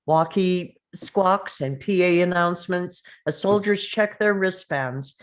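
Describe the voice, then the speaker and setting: A woman's voice: on the low side, takes it slowly. A woman reading stage directions as the narrator of a screenplay table read.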